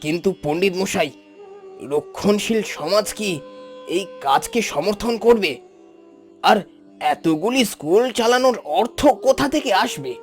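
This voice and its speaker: A man voicing a polite, concerned British gentleman philanthropist in a cartoon, with formal, stiff diction. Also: high voice, expressive, unhurried, pauses often, soft background music. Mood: worried. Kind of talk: scripted cartoon dialogue.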